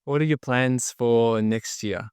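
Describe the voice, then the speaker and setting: Smooth-voiced male podcast host. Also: mid-range voice, takes it slowly, a little mellow, dry recording, clean studio sound. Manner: friendly, encouraging questions. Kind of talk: podcast interview.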